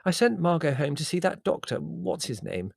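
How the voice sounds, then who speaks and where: A man's voice: fairly high pitch, very animated, normal speed, creaky, darkish tone, husky, dry recording, clean studio sound, pitched above the performer's own voice, full character voice. A man voicing a bewildered, easily alarmed mother in a storybook, plaintive and questioning.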